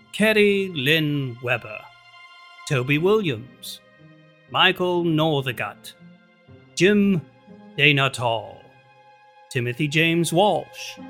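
Formal radio announcer guy, highish bright voice, slow, bit of music under him. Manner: formal, measured. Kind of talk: formal scripted radio show sign-off.

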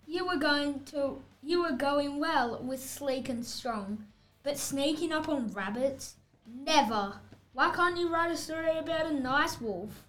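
A schoolboy of about eleven voicing a vain, indignant storybook wolf who protests and cuts in, in a scripted audiobook style. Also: male voice, extremely high pitch, a little slow, a bit bright. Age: child, about 11 to 12. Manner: protesting, exclamatory, interrupting the narrator. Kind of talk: scripted picture-book audiobook acting.

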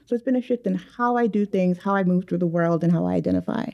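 A woman born in Guyana speaks in a slightly low voice. She talks in an earnest, deliberate way, weighing each point as she goes. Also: vocal fry, lo-fi sound. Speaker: panel guest. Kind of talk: reflective personal discussion.

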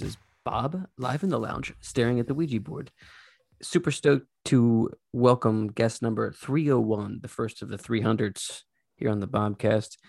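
A man's voice: mid-range voice, gravelly, darkish tone. A man hosting a podcast in a casual, slangy, informal way, laughing easily as he chats. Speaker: podcast host.

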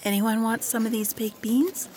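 An actress playing a gentle, peacemaking young woman in grief, in an audio drama. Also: a little high, steady tone, moderate pace, a crowd in the background, natural voice. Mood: mild, peacemaking. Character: gentle peacemaking young woman Polly, grieving her sister.

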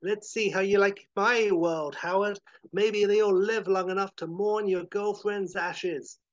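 A sneering, vengeful villain, acted out by a male game master, with a loud, raised voice. He taunts theatrically and full of menace in a tabletop adventure. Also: high voice, fairly even intonation, measured pace, highs cut off, voice pushed up. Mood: gloating.

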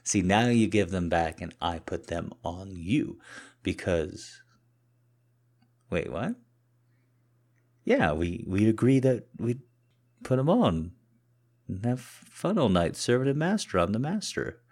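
A man acts out a boyfriend in an intimate audio roleplay, talking straight to his lover. His voice is low and husky, and he takes his words very slowly. The delivery is halting and conversational, as in a private exchange between partners. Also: big pitch swings, darkish tone, heavily acted. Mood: playful, cocky. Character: cocky boyfriend, flustered and pleading, turning softly submissive. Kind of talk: intimate solo audio roleplay addressed to the listener.

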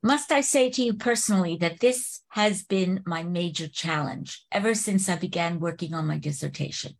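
A woman in the class reads a passage aloud at a steady, careful pace over a video call. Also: on the low side, normal speed, creaky. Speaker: class participant. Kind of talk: reading a text aloud.

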